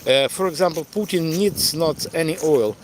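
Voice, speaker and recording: A middle-aged man from eastern Ukraine, a native Russian speaker, talking off the cuff on a livestream in halting, hesitant English with a Ukrainian accent. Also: fairly high pitch, a little hiss or hum. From Donetsk, eastern Ukraine. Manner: halting, hesitant, searching for words. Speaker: live streamer and tour guide. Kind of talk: unscripted livestream monologue answering chat.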